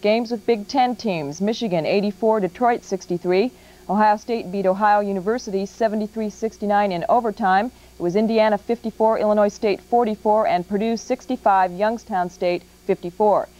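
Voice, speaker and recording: An American woman anchors a TV sportscast, reading from a script. Her pitch is mid-range for a woman. The recording is muffled and lo-fi, like an old broadcast tape. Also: moderate pace, dark tone. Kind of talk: scripted TV newscast sports scores.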